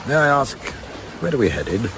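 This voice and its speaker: A man's voice: medium pitch, sing-song, moderate pace, fairly bright tone. Disgraced middle-aged father played by a man, blustering yet brittle, wildly swinging intonation, faint noise behind, period radio drama. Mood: subdued, resigned. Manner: blustering, old-fashioned cursing, faltering when cornered. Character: exposed older traitor father, blustering then broken. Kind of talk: radio play acting.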